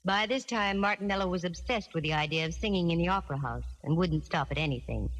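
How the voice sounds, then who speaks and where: A woman's voice: on the low side, quick pace, lightly acted. Wisecracking female private eye, acted by a woman, old reverberant radio. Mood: dramatic, wry. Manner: wry, breezy, slangy. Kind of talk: 1940s radio drama acting and first-person narration. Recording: vintage radio recording, long reverb, music behind.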